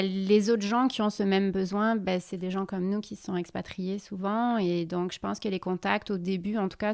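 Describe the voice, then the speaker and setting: A woman's voice: medium pitch, vocal fry, a little mellow, no room sound, studio quality. A woman from southern France with a French accent tinged with Quebec, talking hesitantly with many fillers in a casual interview. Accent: French with a slight Quebec accent. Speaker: guest, expatriate in Quebec.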